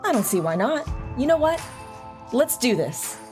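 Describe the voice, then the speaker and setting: A woman's voice: sits high, very animated, normal speed. A woman playing a loving, teasing mother in an audio drama, both telling the story and talking with her child. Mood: warm, agreeable. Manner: reassuring, teasing. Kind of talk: audio drama narration and dialogue. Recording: music under the voice. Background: music.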